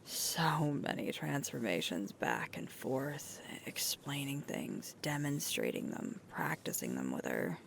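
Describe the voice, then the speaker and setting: A woman playing a sweet, tired alpha werewolf girlfriend in an intimate roleplay ASMR, murmuring softly and breathily close to the microphone. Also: a bass voice, very animated, heavily acted. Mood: weary, reflective. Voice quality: breathy, creaky, often whispering. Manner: slow, soft, unhurried intimate murmur with pauses.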